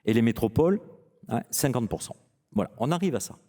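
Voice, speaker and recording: Man presenting in a reverberant hall, lively pitch. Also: fairly quick. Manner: didactic, rhetorical questions answered himself. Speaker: finance consultant presenting at a seminar. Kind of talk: expert seminar presentation. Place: large indoor conference venue.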